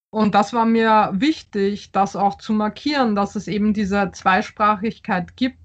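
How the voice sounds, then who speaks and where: A woman whose first language was Polish answers interview questions, pausing often and restarting her sentences as she thinks aloud. The sound is slightly dull and lo-fi. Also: mid-range voice. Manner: thoughtful, hesitant, many fillers and restarts. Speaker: author.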